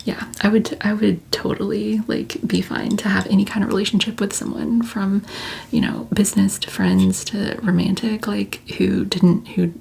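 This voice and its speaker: A woman's voice: mid-range voice, vocal fry, darkish tone. A woman whispering through a chatty ASMR question-and-answer video, full of "like" and "um" fillers. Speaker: ASMR creator.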